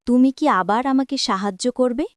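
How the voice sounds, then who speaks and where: A woman's voice: on the high side, normal speed, no echo, studio quality, close to an everyday voice. A woman voicing a powerful, deceitful shark in a children's moral story. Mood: ashamed. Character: powerful arrogant deceitful shark, pleading when in need.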